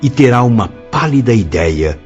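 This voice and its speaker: An actor playing a grave, compassionate storyteller, narrating a radio drama in a dramatic, formal way. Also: male voice, mid-range voice, sing-song, normal speed, darkish tone, lightly acted. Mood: grave, appalled. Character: male spirit storyteller, grave, shocked, compassionate. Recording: dull studio track, music behind.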